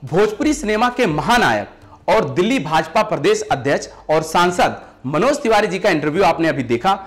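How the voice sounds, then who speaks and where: Animated, high-pitched male channel announcer. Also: normal speed. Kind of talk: scripted promotional outro narration.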